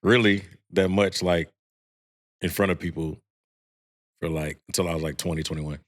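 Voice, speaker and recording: A man in his thirties from with a deep, heavy baritone, talking in a laid-back, rambling way with lots of fillers as a podcast guest. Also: steady tone, normal speed. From Houston, Texas. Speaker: guest singer. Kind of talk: casual podcast chat.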